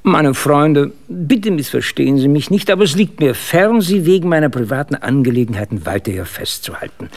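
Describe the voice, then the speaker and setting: An actor playing an older, courteous English doctor who works as a determined amateur detective in a German radio play. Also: male voice, fairly high pitch, animated, brisk, hardly any pauses, a little mellow. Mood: earnest, determined. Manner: courteous, probing, old-fashioned politeness. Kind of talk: German radio drama acting.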